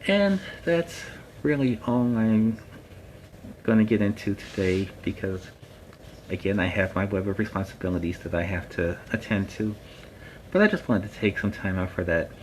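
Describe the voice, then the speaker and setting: A man's voice: on the low side, expressive, moderate pace, slight background noise, natural voice, low-quality recording. A man playing a friendly superhero storytime host, talking casually and earnestly to viewers in a plainspoken, emphatic way. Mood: warm, sincere. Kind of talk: casual instructive talk to viewers.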